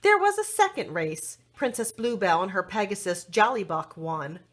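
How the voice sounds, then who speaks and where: A woman reading a children's story aloud expressively, with dramatic, deliberate phrasing for young listeners. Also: mid-range voice, sing-song.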